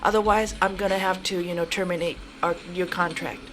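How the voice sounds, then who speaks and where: A man's voice: high-pitched, steady tone, fairly quick, noisy background, natural voice. A man playing a pleading, mock-stern office boss in an improvised comedic roleplay, scolding with hesitations. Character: pleading, punning male boss scolding an employee.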